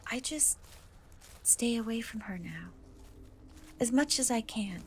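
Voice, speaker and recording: Casting: woman to play timid, kind cat-girl for fantasy ASMR; highish soft voice, unhurried, hesitant, near-whisper delivery. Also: expressive. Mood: wistful, hesitant. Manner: hesitant, soft-spoken, often slipping into whisper. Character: gentle half-cat young woman, kind, timid, reassuring. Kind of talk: dark fantasy ASMR roleplay, soft intimate acting. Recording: noticeable background noise and ambience.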